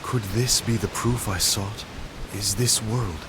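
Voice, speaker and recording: A gentle, melancholic young composer who is ill, musing softly in a low, unhurried voice. The recording carries a steady hiss underneath. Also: male voice. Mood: reflective. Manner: soft, reflective, searching delivery. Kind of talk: video game cutscene dialogue. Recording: hissy game audio capture.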